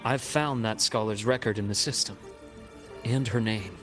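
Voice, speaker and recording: A man voicing a composed, analytical investigator in a game, speaking in a measured, explanatory way. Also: fairly low pitch, over music, natural voice. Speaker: game character. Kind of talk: game cutscene voice acting.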